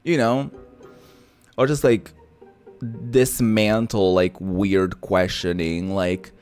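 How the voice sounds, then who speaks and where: A man chats casually while playing a game. His voice is low and clean, his manner sassy and slangy, wandering off on tangents. Faint music plays behind him. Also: steady tone, takes it slowly, a little mellow, clean tone. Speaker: gaming streamer. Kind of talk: casual chatty game commentary.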